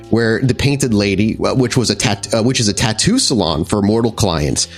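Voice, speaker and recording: Male game master, lowish animated voice, improvised horror scene-setting, light music bed. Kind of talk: improvised descriptive game narration.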